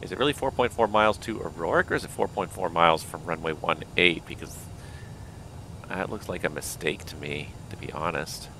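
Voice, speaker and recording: Low-voiced man thinking aloud in cockpit. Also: a little fast, gravelly, bright, forceful tone, a little hiss or hum, rough recording. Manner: thinks aloud, calls out checklists. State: losing his voice. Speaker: flight simulator pilot. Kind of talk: casual cockpit commentary.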